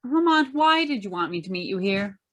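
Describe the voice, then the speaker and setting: A woman's voice: mid-range voice, sing-song, brisk, fairly bright tone, muffled recording. A woman playing a crime fighter's loyal, concerned companion, earnest and questioning, in a radio drama. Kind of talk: old-time radio drama acting.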